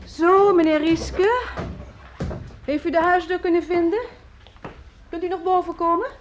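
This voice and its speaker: A woman's voice: very high-pitched, steady tone, a little slow, lo-fi sound, a little hiss or hum. A woman playing a fussy, solicitous landlady of students in a radio drama, nagging and repeating her words, on an old recording. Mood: scolding, exasperated.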